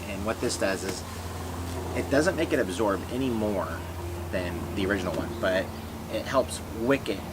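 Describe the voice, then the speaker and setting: A man explaining casually and off the cuff to camera, conversational and a little hesitant. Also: lowish voice. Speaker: video reviewer giving a guest question. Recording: very noisy room with steady hum.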